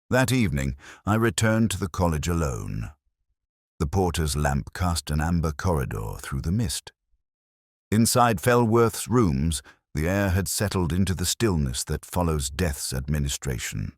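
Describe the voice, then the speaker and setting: A man playing a very deep-voiced, brooding detective. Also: dry recording, clean studio sound, heavily acted. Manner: measured, reflective, literary phrasing. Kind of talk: scripted first-person detective narration, audio drama.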